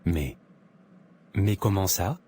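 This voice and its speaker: Man acting a low-voiced earnest young son. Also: slow pace, mellow, slight background noise. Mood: worried, stunned.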